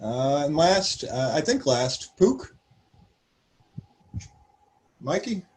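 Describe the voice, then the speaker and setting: A man's voice: a little high, sing-song, very slow, a little mellow. A man hosting an online game over a video call, calling on each player with brief, hesitant prompts. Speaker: game host.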